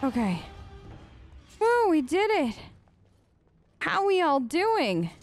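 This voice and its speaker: Very high-voiced, animated woman gamer. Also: slow pace, over music. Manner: playful, exclaiming, chatty. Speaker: gaming host. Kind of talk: casual let's play commentary. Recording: loud game noise behind voice. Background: game sounds and ambience.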